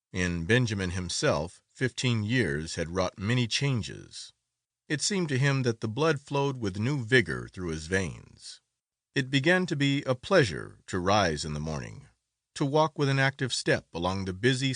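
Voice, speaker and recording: A man's voice: lowish voice, a bit bright, dry recording, clean studio sound. A man narrating a classic literary story as a dramatic, scripted audiobook reading.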